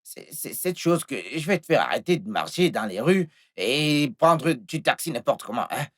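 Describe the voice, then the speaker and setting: A man's voice: high voice, big pitch swings, fast talker, a bit bright, breathy edge, full character voice. A man playing a volatile, scheming husband in a film melodrama, repeating himself and stammering through his words. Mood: coaxing, placating. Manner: repetitive, stammering delivery. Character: volatile, scheming unfaithful husband with a short temper.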